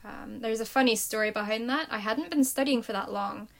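A woman's voice: a little high, a little fast. A young woman from the United States chatting casually about learning languages, telling her stories in an upbeat way. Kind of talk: casual chat.

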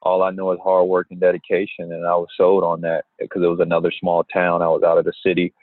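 Very deep, flat-voiced young man on a tinny line. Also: no echo. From Gary, Indiana. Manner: unhurried, with many "um" and "uh" pauses. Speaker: basketball player, interviewee. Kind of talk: relaxed interview answers.

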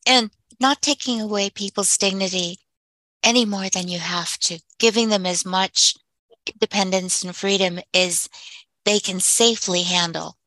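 A woman's voice: medium pitch, normal speed, sharp tone, dry recording, low-quality recording. An older woman in casual conversation, with a sweet, small voice and a chatty manner, often laughing as she talks. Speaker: discussion participant and family caregiver.

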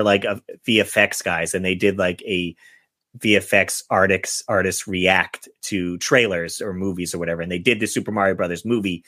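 A man's voice: lowish voice, fairly bright tone. A man hosting a podcast guessing game, chatting casually about movies in an informal, rambling way.

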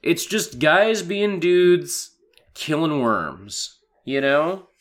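A man with a hoarse voice from a cold, co-hosting a casual podcast chat and laughing as he talks. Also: on the high side, very slow. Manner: animated, laughing, swearing. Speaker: podcast co-host.

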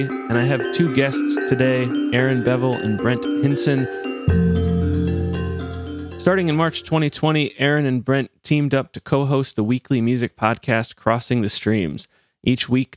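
A man hosting an interview podcast, moving between scripted introduction and questions. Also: mid-range voice. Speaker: podcast host.

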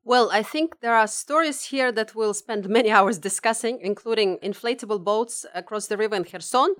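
A woman's voice: medium pitch, fairly bright tone, no echo. A woman economist from Latvia, answering interview questions earnestly and precisely, with slightly phrasing. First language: Russian. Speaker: economist guest.

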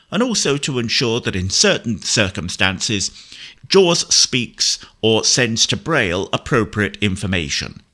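Lively, bright-voiced man presenting a tutorial. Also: on the low side. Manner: methodical, precise instructional delivery. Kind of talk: spoken screen-reader software demonstration.

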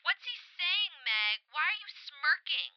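High-pitched, animated, stubborn young sister. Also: female voice, measured pace, lo-fi sound, heavily acted. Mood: indignant. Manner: blunt, sarcastic, incredulous. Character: protective, suspicious, outspoken young older sister, dramatic and stubborn. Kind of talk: modern naturalistic audio drama acting.

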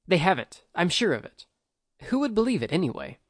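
A man's voice: sits high, very animated, brisk, dry recording, studio quality, voice pushed up, heavily acted. A man voicing a headstrong young prince. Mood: dismissive. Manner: insistent, defiant.

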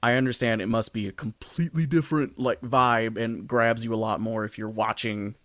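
A man's voice: medium pitch, fairly even intonation, a little fast, fairly bright tone, no room sound, clean studio sound. A man co-hosting a podcast, talking casually and informally, hesitantly thinking aloud as he goes. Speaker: podcast co-host. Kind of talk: casual discussion of music and theatre.

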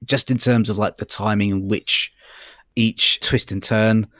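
A man with a fairly low voice gives his views as a podcast co-host in a casual chat. He is tentative and often feels for the right word. The recording is dry, without audible room. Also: fairly even intonation, a little slow, a bit bright, no room sound. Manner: tentative, hesitant, searching for words. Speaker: podcast co-host reviewing audiobooks.

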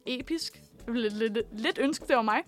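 A woman's voice: on the high side, faint music behind. A woman co-hosting a radio show in a casual, conversational style. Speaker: radio co-host. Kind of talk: casual chat.

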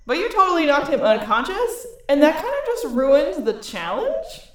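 A man's voice: extremely high pitch, wildly swooping pitch, normal speed, echoey room, crowd noise, pitched above the performer's own voice, heavily acted. A man voicing a huge female cat queen who talks in casual valley-girl slang, chatty and offhand. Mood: breezy.

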